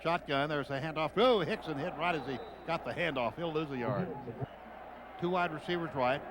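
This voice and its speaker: A man's voice: on the high side, moderate pace. An American man doing live radio football play-by-play in clipped phrases, calling the action as it happens. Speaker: play-by-play announcer. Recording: radio broadcast over clear stadium noise. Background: stadium noise.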